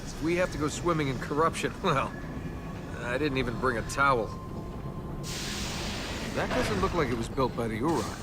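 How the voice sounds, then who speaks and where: A man's voice: medium pitch, animated, normal speed, pauses often, loud music behind the voice, lightly acted. An actor playing a cocky young male adventurer in a video game, with a sardonic, breezy, joking manner. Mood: dry banter. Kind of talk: video game dialogue acting.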